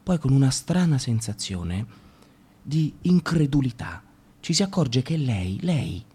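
A man delivers a theatrical radio reading at a mid pitch that neither sits low nor climbs high. His tone is wry and sardonic, and he throws in sudden leaps of pitch as he reels off lists. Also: sing-song, a little slow, warm tone, breathy edge. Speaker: radio drama reader. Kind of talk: theatrical reading of a comic monologue.

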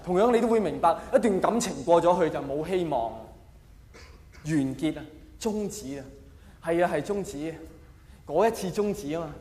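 A man with a Hong Kong Cantonese accent performing a theatrical, self-mocking stand-up monologue. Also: high-pitched. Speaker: stand-up comedian. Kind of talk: stand-up comedy monologue to a live audience. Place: large live comedy venue. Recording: echoey hall, faint noise.

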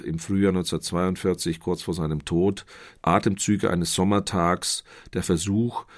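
A man giving explanatory scholarly commentary as a literary expert, precise and formal, in long sentences. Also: on the low side.

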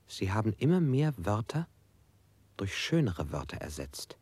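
An actor playing a tormented, submissive man recounting captivity in a literary radio play, speaking slowly and haltingly with breathy near-whispers. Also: lowish voice, big pitch swings, darkish tone, no echo, exaggerated voice. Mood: haunted, brooding.

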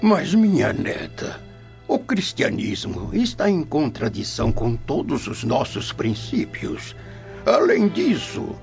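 A slow, creaky old Roman grandfather. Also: medium pitch, full character voice. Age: older. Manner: slow, solemn, disbelieving. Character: stern, affectionate aged Roman grandfather, devout pagan. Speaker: radio drama actor. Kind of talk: radio soap opera acting. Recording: dull lo-fi audio with faint music.

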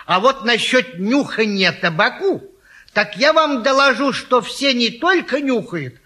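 A man's voice: very high voice, lively intonation, unhurried, pushed and bright, heavily acted. An actor playing a boastful, gallant retired naval lieutenant who tells tall tales in a stage comedy, hemming and savoring as he goes. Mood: chatty, boastful. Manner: hesitant hemming, savoring storyteller. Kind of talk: classic stage comedy acting.

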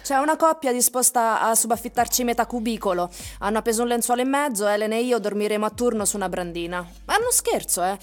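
An actress as a playful young woman in a radio play. Her voice is fairly high, wry and teasing, with faint music behind it. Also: fast talker, hardly any pauses, natural voice. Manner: teasing, deadpan joking. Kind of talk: Italian radio drama acting.

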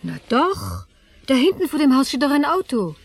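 An actress playing a down-to-earth wife in a German crime radio drama, colloquial and dryly teasing. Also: female voice, high voice, wildly swooping pitch, moderate pace. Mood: impressed, chatty. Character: down-to-earth, dry-witted wife driving her husband.

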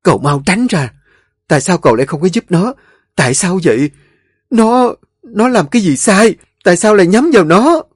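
A man's voice: medium pitch, big pitch swings, moderate pace, darkish tone, breathy edge, no room sound, studio quality. A man voicing a desperate young wife who pleads and sobs for mercy. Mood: distraught. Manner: sobbing pleading.

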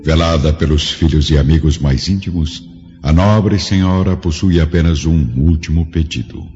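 A man's voice: extremely low pitch, moderate pace. A man narrating a radio drama from a script in a formal, literary manner. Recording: dull lo-fi audio with music behind.

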